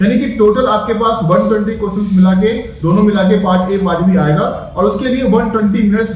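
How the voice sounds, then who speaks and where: A man's voice: sits high, darkish tone. A man giving a methodical, explanatory coaching lesson, often adding little check-in tags as a teacher does. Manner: methodical, often checks with tag words like "right" and "okay". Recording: very echoey room, distant mic, music behind.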